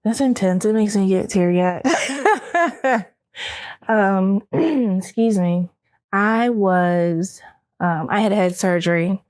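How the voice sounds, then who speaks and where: A woman's voice: medium pitch, slow pace, long pauses, darkish tone, smooth, dry recording, clean studio sound. An American woman giving a candid, emotionally open personal account at a round-table discussion. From Baltimore, United States. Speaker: round-table panelist, army veteran, cancer survivor.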